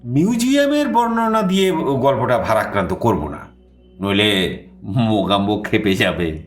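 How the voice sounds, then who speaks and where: A man's voice: fairly low pitch, unhurried, echoey room. An actor playing a theatrical raconteur who tells his own travel adventure as a dramatised audio story. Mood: relaxed, wry. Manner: expressive, theatrical. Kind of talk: dramatised audio story narration in first person. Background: background music.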